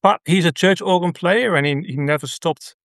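A middle-aged man from the Netherlands with a Dutch accent, a radio guest telling a personal story in an earnest, halting way with many restarts. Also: high-pitched, no room sound, studio quality. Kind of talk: conversational interview.

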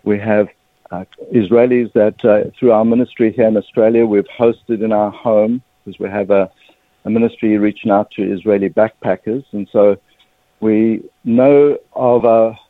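A man's voice: on the low side, fairly even intonation, moderate pace, dry recording. South African man on a phone-like line. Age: 50s. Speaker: interview guest, religious ministry leader. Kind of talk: measured personal account in an interview.

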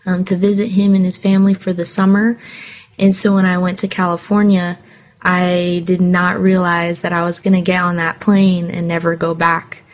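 A woman's voice: lowish voice, flat delivery, moderate pace. A young woman from Hawaii, a singer telling her life story in an interview, candid and earnest, with frequent fillers. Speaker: singer guest. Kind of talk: personal interview answers.

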